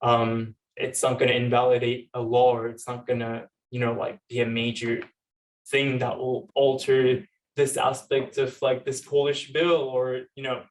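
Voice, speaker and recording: A man's voice: medium pitch, little pitch movement, a little slow. A young man talks informally and a little hesitantly on a panel, telling personal stories with plenty of "like". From Turkey. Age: young adult. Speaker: panel speaker, law student and activist. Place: panel event at a university. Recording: distant microphone at live event.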